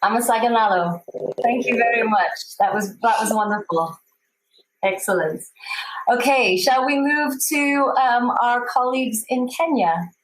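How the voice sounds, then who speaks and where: A woman moderating an online panel, calling on speakers with encouraging, gently hesitant prompts. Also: fairly high pitch, measured pace, echoey room, a little hiss or hum, poor audio. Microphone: video call.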